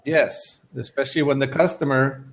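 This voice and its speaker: A man's voice: medium pitch, fairly even intonation, moderate pace. An American man tutoring English on a video call, friendly and easygoing, rephrasing things simply for his student. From United States. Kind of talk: casual online tutoring chat.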